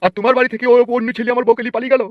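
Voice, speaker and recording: A man acts out an old village husband in a comic cartoon, heartbroken and full of complaint. He pleads and wails in a very rapid voice. The sound is dull and dry, with music underneath. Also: extremely high pitch, little pitch movement, dry recording. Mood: aggrieved. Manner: wailing, complaining, drawn-out pleading. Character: distraught old village husband abandoned by his wife.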